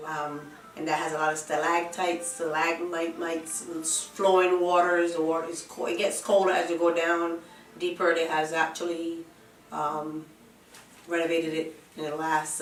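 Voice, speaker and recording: A woman's voice: low voice, flat delivery, moderate pace. A woman in her fifties from Barbados with a Barbadian accent, answering questions in a casual documentary interview. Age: 50s. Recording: roomy, distant microphone, music behind.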